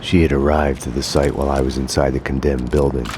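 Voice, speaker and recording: An actor playing a world-weary middle-aged detective in a game, speaking terse, fatalistic, deadpan noir monologue. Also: male voice, rumbling low, fast talker, background noise. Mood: terse, brooding. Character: brooding, world-weary middle-aged male detective narrating his own downfall. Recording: dramatic music under the voice.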